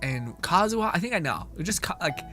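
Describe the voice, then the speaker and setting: A man's voice: high voice, big pitch swings, fast, music in the background. Playful, swearing male game streamer. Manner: playful, rambling, swears, laughs a lot. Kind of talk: casual livestream commentary.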